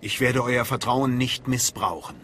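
An actor playing a haughty, guarded Sith sorcerer in a game, speaking formally with cold self-assurance. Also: male voice, medium pitch, fairly even intonation, unhurried, fairly bright tone, a little hiss or hum, natural voice. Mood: guarded, cool. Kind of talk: dramatic game voice acting.

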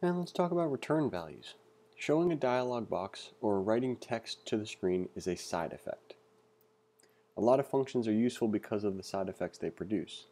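A man reading aloud through a coding book and thinking out loud as he teaches, with a relaxed manner and frequent fillers. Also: fairly low pitch, moderate pace. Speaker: coding tutor. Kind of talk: casual read-along lesson.

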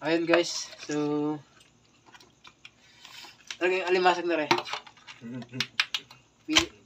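A man's voice: fairly high pitch, level tone, slow. Chatty man vlogging at a kitchen sink. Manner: chatty, thankful, addresses viewers as guys. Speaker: vlogger, spearfisher. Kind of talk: casual vlog narration. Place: small tiled kitchen indoors, at night. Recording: distant phone audio with clear background noise.

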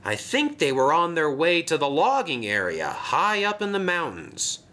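A tireless little narrow-gauge engine, voiced by a man in a storybook. He speaks plainly and matter-of-factly, in a bright voice with a lot of melodic movement. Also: a little high, very animated, normal speed, voice pushed up. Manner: matter-of-fact, busy.

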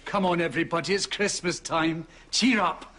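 A man's voice: fairly high pitch, steady tone, normal speed, pushed and bright. A man playing a perky, camp, relentlessly cheerful young man in a studio sitcom. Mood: upbeat, coaxing. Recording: studio audience and faint noise.

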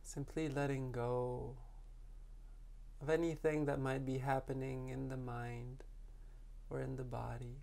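A man leading a guided meditation as a teacher, speaking in a soft, calming way with long pauses. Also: mid-range voice, gravelly.

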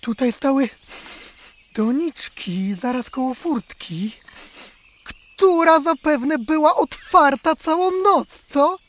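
A woman's voice: high-pitched, lively intonation, slow. A woman voicing a brave, gallant male hare who speaks in a courtly, theatrical way in a children's radio play.

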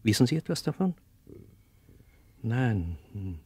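An actor in a German radio play, playing a grave, agitated family doctor. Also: male voice, fairly low pitch, very animated, takes it slowly, darkish tone, husky, no room sound, exaggerated voice. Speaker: actor in an audio drama.

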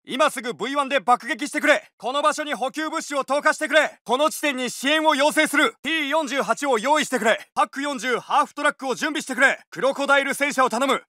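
An actor playing a fierce, dutiful Japanese World War Two soldier with an urgent, clipped military delivery, in video game combat lines. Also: male voice, very high voice, fast, few pauses, pushed and bright, dry recording, clean studio sound. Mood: urgent, commanding.

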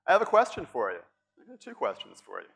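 A man's voice: a little high, wildly swooping pitch, fast, creaky, fairly bright tone, slightly rough. A middle-aged man from Boston with a Boston accent, giving a playful, chatty workshop talk at a conference and asking the audience questions. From Boston, United States. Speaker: conference speaker. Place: large conference room on stage.

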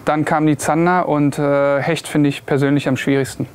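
Young man, brisk, slightly high voice. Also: flat delivery, slight background noise. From Berlin. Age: young adult. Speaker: competitor. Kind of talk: interview answers. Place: outdoor patio.

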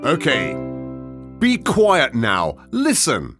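Lively-pitched cartoon schoolteacher. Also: male voice, soft background music, full character voice. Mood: instructive, polite. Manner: slow, clearly enunciated instructional delivery. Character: polite, orderly male schoolteacher. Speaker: teacher. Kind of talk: scripted children's educational cartoon dialogue.

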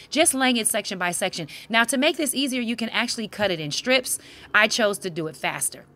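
A woman's voice: medium pitch, pushed and bright, slight background noise. Chatty middle-aged American woman. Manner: chatty, playful, informal. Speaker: craft vlogger. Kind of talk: casual craft tutorial voice-over.

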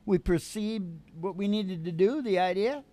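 A man's voice: sits high, moderate pace, dark tone. An older man hosting a casual, rambling chat in a folksy way, often repeating his phrases. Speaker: podcast host and musician. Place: small curtained stage studio indoors. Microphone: microphone on stand. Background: acoustic guitar strumming.

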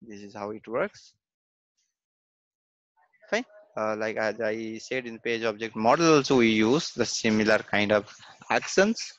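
Halting man teaching code with fillers. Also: lowish voice, no room sound, highs cut off. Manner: hesitant, many fillers and repeated "okay, fine". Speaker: software testing instructor. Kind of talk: screen-recorded coding lesson.

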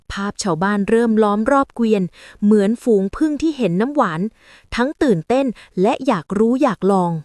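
A woman narrates a storybook tale in an expressive way. Her voice sits fairly high and moves with very lively intonation, recorded dry and close. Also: no echo.

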